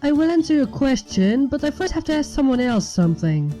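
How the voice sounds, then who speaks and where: A woman's voice: sits high, sing-song, fairly quick, mellow, soft background music, voice pushed up. A woman voicing an earnest, uneasy young female dragon who is easily irritated, in a fan fiction reading.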